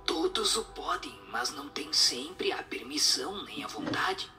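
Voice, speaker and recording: A breathy, whispering spirit acted by a woman gives solemn, measured teaching in a radio drama. The pitch rises and falls freely, with music behind. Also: very animated, sharp tone, heavily acted. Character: solemn, instructive spirit answering questions.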